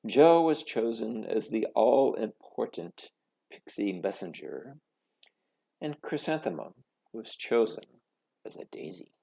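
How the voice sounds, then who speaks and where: A man reading a children's story aloud as a narrator, expressive, dramatic and theatrical. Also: lowish voice, takes it slowly, gravelly. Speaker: story reader.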